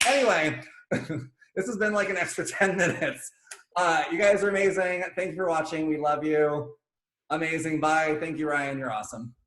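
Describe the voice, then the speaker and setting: Animated, jokey man in an echoey room. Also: on the high side, normal speed. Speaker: podcast co-host. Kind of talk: casual chat.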